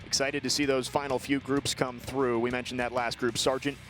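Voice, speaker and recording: A man's voice: mid-range voice, flat delivery, fairly quick, fairly bright tone, faint music behind. A man with an American accent giving live play-by-play golf commentary as a sports broadcaster.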